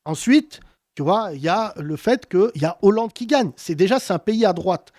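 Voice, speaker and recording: A man hosting a heated political debate, talking with passion and emphasis and wide swings in pitch. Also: expressive, normal speed, a little mellow, no echo, clean studio sound. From France. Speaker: host.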